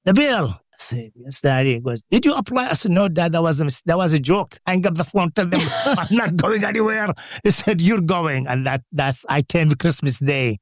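Older man, sixties, Lebanese accent, animated storyteller, dry close mic. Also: on the high side, moderate pace, no room sound. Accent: Lebanese Arabic. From Jordan. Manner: animated, acts out voices in his stories, laughs often. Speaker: interviewee. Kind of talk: personal storytelling.

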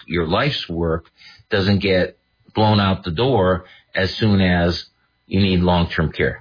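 A husky, fairly low-voiced man talks through his own radio show, casual and animated, now and then mocking others in a put-on voice. The sound is dull and lo-fi, like an old radio broadcast. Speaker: radio show host and estate attorney.

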